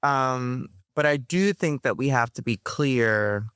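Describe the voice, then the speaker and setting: A woman's voice: very deep voice, lively intonation, takes it slowly, a bit bright, clean tone, no echo, clean studio sound. A woman guest on a podcast interview, speaking thoughtfully with frequent fillers and restarts, laughing now and then.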